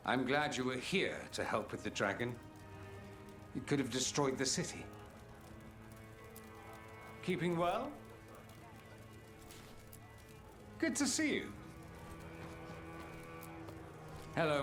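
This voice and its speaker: An actor playing a suave, witty mage rebel in a video game, speaking with wry, theatrical eloquence. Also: male voice, mid-range voice, very animated, slow pace, pauses often, music in the background. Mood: warm, pleased. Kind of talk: video game cutscene acting.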